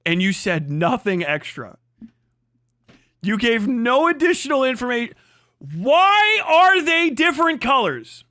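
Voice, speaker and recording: A man's voice: very high-pitched, sing-song, a little slow, pushed and bright, no echo, clean studio sound. A man hosting a casual livestream, reacting with exasperated, mocking, swear-heavy commentary. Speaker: livestream host.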